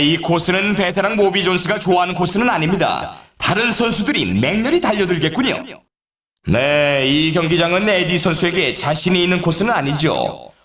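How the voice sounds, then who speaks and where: A man's voice: sits high, a bit bright. A man playing an upbeat, showy sports announcer in a video game, with polished, scripted commentary delivery. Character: male snowboard race announcer, upbeat and showy. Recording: echoey, distant-sounding game audio.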